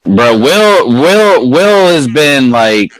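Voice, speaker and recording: A man in casual podcast chat, slangy and hesitant, often restarting his sentences. His voice sits at a middle pitch. It sounds dry and close, with little room around it. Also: very animated, very slow, no room sound, rough recording. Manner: slangy, hesitant, repeats himself while arguing. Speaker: podcast co-host.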